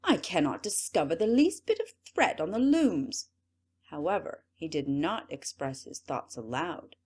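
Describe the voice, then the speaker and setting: A native English-speaking woman narrating a fairy tale audiobook in an expressive, measured way. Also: on the low side. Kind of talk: storybook narration.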